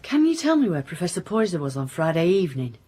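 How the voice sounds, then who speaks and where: A woman's voice: lowish voice, very animated, fast talker, mellow, breathy edge. An actress playing a dry, matter-of-fact female police inspector in a naturalistic radio drama. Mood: firm, polite.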